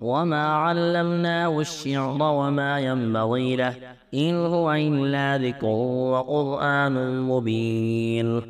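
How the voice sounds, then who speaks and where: A man reciting scripture in a melodic Quranic chant, measured, with drawn-out verse endings. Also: mid-range voice.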